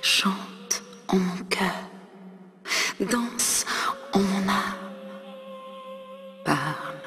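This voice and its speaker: A woman performing her own poem as a dramatic recitation, breathy and often half-whispered, expressive, with long pauses between short phrases. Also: mid-range voice. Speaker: poet performing own work. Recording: long reverb, music underneath. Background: ney flute music.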